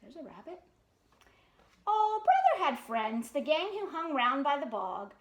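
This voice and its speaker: Storytime reader: woman, very high, animated voice, sing-song delivery, roomy echoey recording. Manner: sing-song, expressive, pauses to question young listeners. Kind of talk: animated read-aloud of a children's picture book with chatty asides.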